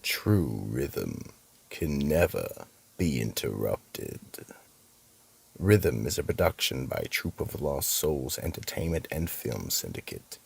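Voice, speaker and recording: A man hosting and narrating scripted horror stories in a theatrical, ominous manner, with a very deep, gravelly, husky voice. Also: big pitch swings, darkish tone. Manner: slow, ominous, dramatic. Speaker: narrator and host.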